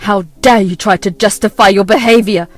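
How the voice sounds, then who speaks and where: A woman's voice: medium pitch, steady tone, brisk, dry recording, faint music behind, pitched below the performer's own voice, lightly acted. A woman voicing an angry adult son who scolds his mother harshly.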